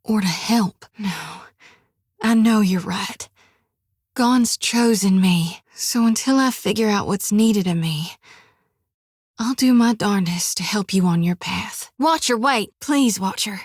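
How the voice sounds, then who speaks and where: An actress voicing a devout young female reaper priestess haunted by visions, with a drawl, often hushed and whispery, recorded dry in a studio. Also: medium pitch, slow pace, slightly rough, no echo. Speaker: game character. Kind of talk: game voice acting.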